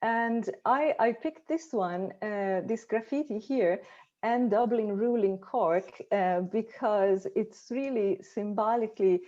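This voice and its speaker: An Italian-accented woman speaks over a video call, her voice mellow and a little muffled by lo-fi audio. She talks casually, circling back with "um" and repeated words as she gathers her thoughts. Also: mid-range voice, slow pace. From Italy. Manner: chatty, with many fillers and restarts. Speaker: conference respondent.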